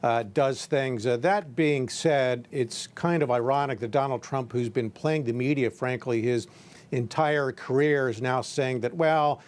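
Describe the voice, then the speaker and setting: A man with a medium-pitched voice comments as a political correspondent on a news panel. He makes his points emphatically, in unscripted speech. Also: a little slow.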